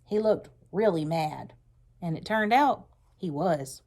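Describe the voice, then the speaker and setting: A woman narrating a story aloud in an expressive read-aloud style. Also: fairly low pitch, slow pace.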